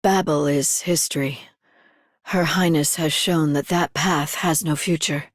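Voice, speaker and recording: A composed, serious female veteran from a video game. Her voice is low and resolute, captured bone-dry. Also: fairly even intonation, measured pace, no echo. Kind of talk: scripted game character voice line.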